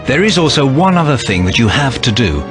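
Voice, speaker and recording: Animated, resolute soldier hero, acted by a man. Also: medium pitch, a little fast, over music, lightly acted. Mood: earnest, resolute. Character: noble, resolute soldier brother, sworn secret agent. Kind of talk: dramatic video game cutscene acting.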